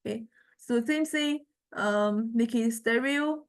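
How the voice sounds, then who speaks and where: A woman with a somewhat high-pitched voice gives an informal, hands-on lecture. Her delivery is halting and hesitant, with words often repeated or restarted as she works through each step of the explanation. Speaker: music technology lecturer. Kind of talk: informal software demonstration lecture.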